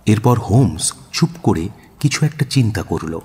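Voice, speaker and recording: An actor playing a detective's companion who narrates a radio drama in a very husky voice, measured and dramatic. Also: male voice, fairly low pitch, expressive, dark tone, heavily acted. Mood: dramatic, suspenseful. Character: detective's male companion, dramatic, observant storyteller. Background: music under the narration.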